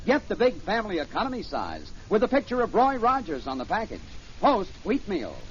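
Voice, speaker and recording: A man's voice: sits high, wildly swooping pitch, a little fast. A man reading a 1950s radio commercial as an enthusiastic salesman. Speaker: cereal commercial announcer. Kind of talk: 1950s scripted radio advertising. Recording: muffled old radio recording, faint hiss.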